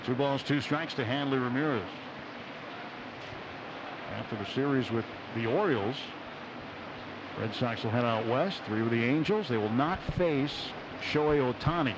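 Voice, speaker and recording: A man calling baseball play-by-play on the radio in a measured, descriptive way, pausing between short phrases. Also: mid-range voice, background noise. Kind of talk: live radio sports commentary. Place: outdoor ballpark broadcast booth. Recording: dull radio broadcast, loud stadium ambience. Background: loud outdoor ballpark ambience.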